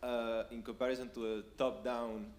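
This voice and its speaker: A man's voice: mid-range voice, little pitch movement, normal speed. Echoey, hesitant Chilean man asking a question. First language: Spanish. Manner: hesitant, searching for words, repeating. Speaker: audience member asking a question. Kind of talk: spoken question at a seminar. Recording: roomy echo, faint room noise.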